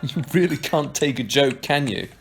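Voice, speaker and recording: A mid-pitched man acting as a flippant pub regular who takes nothing seriously. His delivery is casual and teasing, in an audio drama with faint background noise. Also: animated, normal speed, gravelly. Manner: casual, hesitant, joking.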